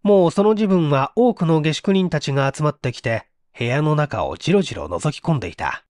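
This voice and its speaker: A man's voice: a little high, lively intonation, fairly quick, a little mellow, no echo. A man narrating a mystery story from a script in a theatrical, suspenseful manner. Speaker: audiobook narrator, stage actor.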